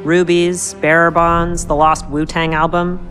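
A woman's voice: lowish voice, little pitch movement, measured pace, fairly bright tone, faint music behind. An actress playing a cocky, persuasive young woman with a glib, sarcastic way of talking in a video game cutscene.

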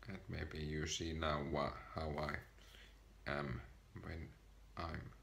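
A young Finnish man with a Finnish accent speaks haltingly to a camera while sitting on a sofa in a small room. His voice is very deep and gravelly, yet it has a bright edge. He talks slowly and flatly, in short, hesitant bursts, with faint room noise behind him. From Finland. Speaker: vlogger. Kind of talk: personal vlog to camera. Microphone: camera in front of him.

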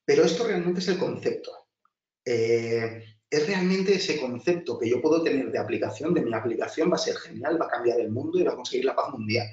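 A man teaches a design class over slides, chatty and full of restarts. His voice sits at an everyday middle pitch. It comes a distance into a roomy space, with dull, muffled audio. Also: lively intonation, brisk, darkish tone. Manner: chatty, many restarts and filler, addresses class directly. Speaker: design instructor. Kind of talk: webinar lecture over slides.

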